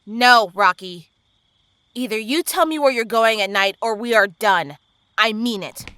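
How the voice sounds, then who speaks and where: A woman's voice: fairly high pitch, unhurried, sharp tone, clean tone. A woman playing a worried young girlfriend in an audio drama, firm and confronting. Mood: stern, worried. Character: worried, stern young girlfriend demanding answers.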